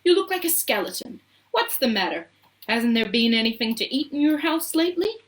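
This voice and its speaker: A woman's voice: high voice, sing-song, fairly quick, voice pushed up. A woman voicing an exuberant, eccentric male chocolate-maker in a storybook, with a theatrical, commanding manner. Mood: brisk, kindly. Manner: theatrical, exclamatory, chanting.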